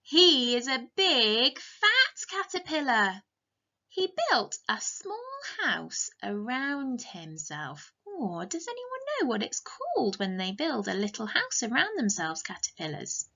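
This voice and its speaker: A woman's voice: on the high side, wildly swooping pitch, takes it slowly, bright, forceful tone, muffled recording. A woman reading a picture book to young children as a teacher, in an encouraging, sing-song way with questions to the listeners. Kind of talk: interactive children's storytime.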